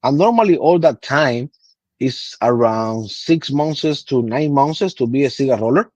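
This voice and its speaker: A man's voice: medium pitch, lively intonation, measured pace, darkish tone, dry recording, dull audio. A man in his thirties from Cuba, with a Cuban Spanish accent and Spanish as his first language, explaining patiently in broken English in a casual interview. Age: 30s. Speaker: guest cigar blender.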